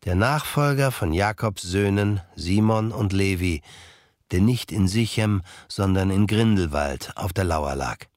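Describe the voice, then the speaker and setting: A man's voice: low voice, animated, measured pace, darkish tone, no room sound, studio quality. A man narrating an audio drama from a script. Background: music.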